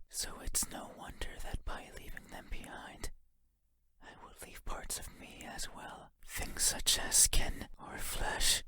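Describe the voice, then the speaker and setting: Man playing a creepy whispering monster. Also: natural voice. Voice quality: breathy, unvoiced whisper. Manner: slow, intimate, deliberate, musing. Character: creepy, sorrowful giant creature with restless grasping hands. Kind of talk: whispered horror game monologue.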